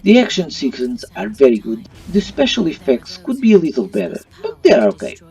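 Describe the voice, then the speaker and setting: A man's voice: fairly high pitch, huge pitch swings, fairly quick, darkish tone, slightly rough. A man who speaks English as a second language, hosting an opinionated video review with non-native phrasing. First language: not English. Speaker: host of a retro gaming video channel. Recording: distant mic, faint music underneath.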